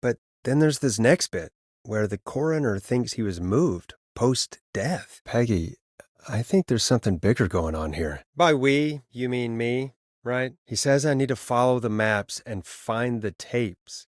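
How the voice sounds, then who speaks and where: Actor as wry, low-key male radio DJ, dry sound. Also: animated, a little slow, no room sound, close to an everyday voice. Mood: thoughtful, wry. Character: male late-night radio DJ turned uneasy amateur sleuth. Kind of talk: naturalistic video game voice acting.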